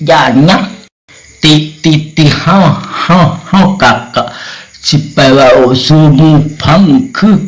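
A man's voice: on the high side, takes it slowly, a bit bright, pitched above the performer's own voice, rough recording. A man voicing a stuttering little village boy in a folk tale, repeating his words as he asks questions. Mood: excited, stammering.

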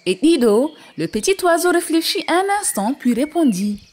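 A woman storyteller reading a scripted African folktale for an animated story. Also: high-pitched, normal speed. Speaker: storyteller, narrator. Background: music.

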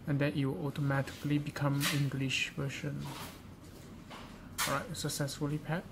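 Higher-pitched man with creaky voice, noisy recording. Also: little pitch movement, moderate pace. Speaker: presenter of a gadget how-to guide. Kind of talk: informal step-by-step tutorial narration. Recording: clearly noisy amateur recording.

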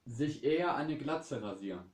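A man's voice: mid-range voice, lively intonation, normal speed, a little mellow. A man off camera reading out short question prompts in a room. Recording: echoey room, far from mic.

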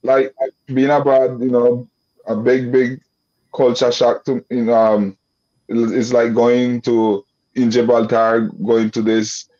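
A man's voice: mid-range voice, little pitch movement, slow, mellow. A young man from Nicaragua with a Creole English accent, talking loosely on a video call and restarting his sentences often. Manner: loose rambling with many restarts and "you know" fillers. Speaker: guest. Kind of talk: casual video-call interview. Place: indoor room. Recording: dull lo-fi video call audio.

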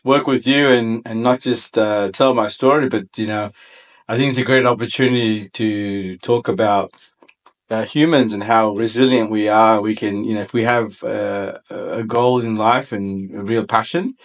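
A man in his forties from Sri Lanka, a podcast guest speaking reflectively with many ums and pauses, in a studio on a boom mic. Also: fairly even intonation. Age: 40s. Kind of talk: podcast interview. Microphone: boom mic on arm.

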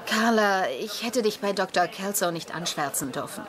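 An actress playing a proud, high-strung young woman doctor in a dubbed TV sitcom, testy and defensive. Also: expressive, moderate pace, crowd noise, natural voice. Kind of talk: German-dubbed TV sitcom acting.

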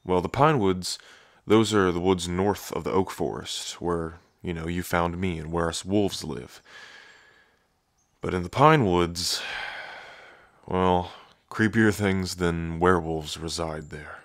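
A man voicing a gruff, protective, hot-tempered werewolf lover in an intimate ASMR roleplay, with a brooding, guarded manner. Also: sits low, takes it slowly, dry recording, clean studio sound. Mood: guarded, weary. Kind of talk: ASMR boyfriend roleplay audio drama.

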